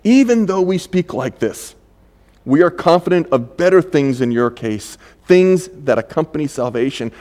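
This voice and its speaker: Halting older preacher in a reverberant church. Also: male voice, fairly high pitch, darkish tone. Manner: conversational, halting, many fillers and restarts. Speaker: pastor. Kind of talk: sermon.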